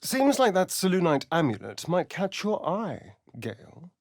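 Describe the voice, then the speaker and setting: Suave vampire rogue for a video game, slow arch drawl, pitch swoops all over, super dry close sound. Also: male voice, wildly swooping pitch, no echo, heavily acted. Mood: arch, teasing. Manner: arch, drawling, theatrical, dramatic pauses. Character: suave, theatrical male vampire rogue, sardonic and preening. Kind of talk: video game character voice acting.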